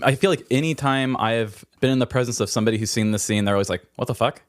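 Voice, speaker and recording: A man's voice: medium pitch, quick pace, dry recording, studio quality. A man in his thirties hosting a podcast quiz in casual, chatty conversation. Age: 30s. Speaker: podcast host running a quiz. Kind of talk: casual chat.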